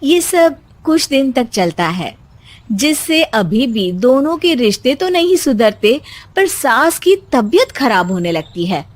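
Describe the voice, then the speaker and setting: High-voiced woman narrating a story. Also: sing-song, slow, dry recording. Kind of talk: scripted cartoon story narration.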